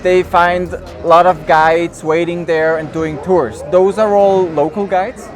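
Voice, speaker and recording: A man's voice: sits high, fairly even intonation, takes it slowly, faint music behind. A man hosting a travel interview outdoors, asking friendly, simple questions with some restarts. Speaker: travel vlog host interviewing a guide. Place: outdoors on stairs in a city neighborhood.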